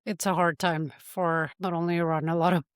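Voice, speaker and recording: A woman hosting a podcast interview, asking questions gently and affirming her guest. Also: fairly low pitch, flat delivery, a little fast, dry recording, clean studio sound. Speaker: podcast host.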